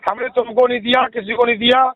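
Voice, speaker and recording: High-voiced defensive man on dull phone line. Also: level tone, fast talker. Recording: dull lo-fi phone call recording.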